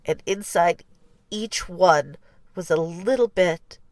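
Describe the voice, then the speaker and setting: A woman reading a children's picture book aloud as scripted narration, with measured, deliberate phrasing and pauses. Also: on the low side, no room sound. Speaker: story reader.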